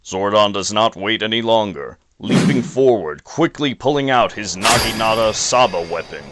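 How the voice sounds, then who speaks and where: Low-voiced man narrating, very noisy recording. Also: expressive, normal speed. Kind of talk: scripted audio drama narration.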